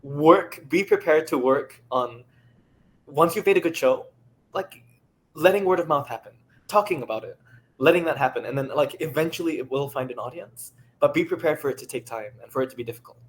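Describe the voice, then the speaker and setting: A man speaking as a panelist, giving advice in casual, earnest, halting conversational talk. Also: fairly high pitch.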